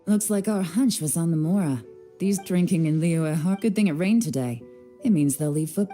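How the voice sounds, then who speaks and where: An actress playing a cool, composed and shrewd young woman in charge of an investigation in a video game, measured and commanding. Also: fairly low pitch, warm tone, faint music behind, natural voice. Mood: calm, analytical. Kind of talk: video game character acting.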